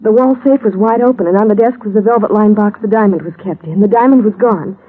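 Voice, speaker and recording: Through a tinny, narrow old radio line comes a young woman in mourning, played by an actress. Her voice is husky, and she speaks earnestly and politely, with a measured, subdued air. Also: mid-range voice, close to an everyday voice. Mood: somber recounting. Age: young adult. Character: grieving, polite young woman, murdered man's daughter. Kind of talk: 1950s radio drama acting.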